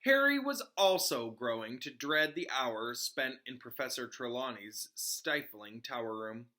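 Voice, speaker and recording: Bright-voiced man reading aloud. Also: mid-range voice. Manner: informal, pauses to explain to listeners. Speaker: teacher reading aloud to students. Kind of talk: children's novel read aloud with casual asides and explanations.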